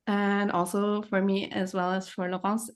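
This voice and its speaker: A woman's voice: mid-range voice, flat delivery, moderate pace. Reflective woman on a call. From Germany. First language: German. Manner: reflective, searching for words. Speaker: podcast guest. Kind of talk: casual conversation.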